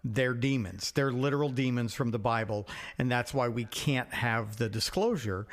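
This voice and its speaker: A man's voice: mid-range voice, normal speed. A man co-hosting a podcast, musing in a plain-spoken, wry way as he shares his opinions in casual chat. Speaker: podcast co-host.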